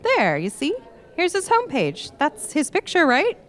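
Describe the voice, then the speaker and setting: Actress as a chatty high-pitched scatterbrained young woman. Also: wildly swooping pitch, moderate pace, a bit bright, exaggerated voice. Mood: breezy, nosy. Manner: gossipy, scatterbrained, exclaiming. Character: chatty, distractible young woman glued to social media. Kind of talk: live radio play acting.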